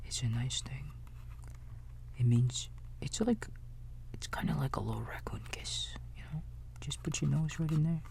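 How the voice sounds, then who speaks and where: A man's voice: fairly high pitch, normal speed, creaky, long pauses, darkish tone, slight background noise. A man whispering an ASMR bedtime story as a babysitter, soothing and casual, with rambling asides to a child listener. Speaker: ASMR roleplayer playing a babysitter.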